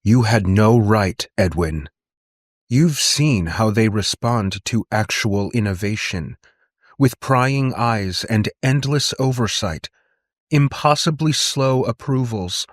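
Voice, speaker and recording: A man's voice: lowish voice, animated, slow, no room sound, studio quality, pitched above the performer's own voice. A man voicing a driven, emotional woman inventor in an audiobook, arguing with passion and defiance. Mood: furious. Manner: impassioned, argumentative.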